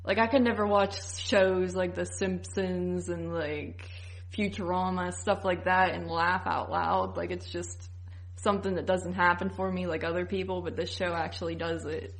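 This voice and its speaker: Female podcast co-host, on the lower side, very informal, conversational, says "like" a lot. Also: steady tone, normal speed. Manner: informal, heavy use of "like". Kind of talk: casual podcast chat.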